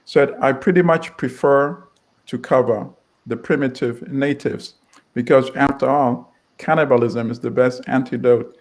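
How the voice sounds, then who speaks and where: A middle-aged man from Uganda, a publisher telling his story in an interview in a measured, wry way with frequent restarts. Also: mid-range voice, fairly even intonation.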